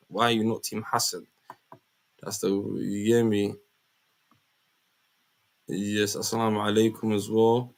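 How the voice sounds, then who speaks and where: A man's voice: on the low side, gravelly. A man from Somaliland with a British accent, hosting a casual live chat stream in an informal, slangy way.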